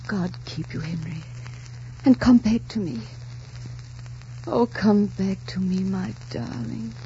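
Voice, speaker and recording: A woman's voice: medium pitch, slow pace, dark tone, a little hiss or hum. An actress playing a proud, devoted lady in love in emotional 1940s radio melodrama style. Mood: tender, yearning. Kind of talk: 1940s radio drama acting. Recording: dull 1940s radio recording, music behind.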